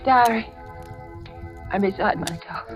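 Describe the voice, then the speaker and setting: Woman acting a high-voiced, terrified radio horror heroine. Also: a little slow, soft background music, natural voice. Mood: desperate. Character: frightened, trapped woman, timid, desperate, near hysteria.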